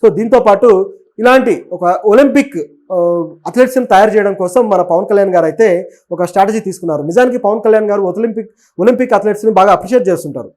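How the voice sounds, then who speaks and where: A man's voice: high-pitched, fast, darkish tone. A man giving conversational news commentary as a web presenter, explaining and offering opinions, with English words mixed in. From Telugu states of southern India.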